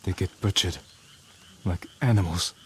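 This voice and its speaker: An actor playing a stoic, resolute noble samurai lord in a dramatic video game. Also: male voice, sits low, normal speed, slight background noise, natural voice.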